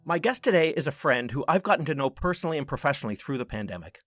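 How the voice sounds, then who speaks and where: A man's voice: fairly high pitch, big pitch swings, very fast, a bit bright, soft background music. A man hosting a podcast, presenting and interviewing in a conversational but orderly way. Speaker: podcast host.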